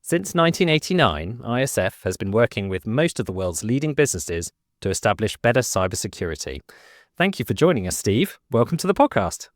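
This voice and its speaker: A man's voice: fairly low pitch, very animated, brisk, no room sound, clean studio sound. A man hosting a business podcast, asking a guest curious, friendly questions. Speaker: podcast host.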